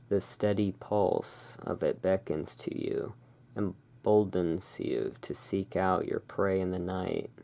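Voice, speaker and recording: Soft-spoken ASMR, very low gravelly voice, gothic shopkeeper roleplay, soothing. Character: relaxed, friendly owner of a gothic curiosity emporium. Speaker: ASMR performer playing a curiosity shop owner.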